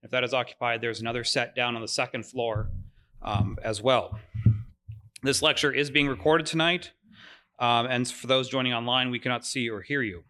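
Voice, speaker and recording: A man with a bright, clear-edged voice opens an evening lecture at a museum. He runs through housekeeping and introductions in a friendly, informal way, with frequent filler words. He speaks into a mic in a lecture room. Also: mid-range voice, steady tone, moderate pace. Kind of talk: public event introduction. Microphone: mic over room speakers.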